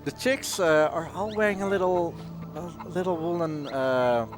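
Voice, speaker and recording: A man's voice: a little high, a little slow. A man who is not a native English speaker giving casual, rambling live commentary on his own speedrun, with wry asides. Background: video game music.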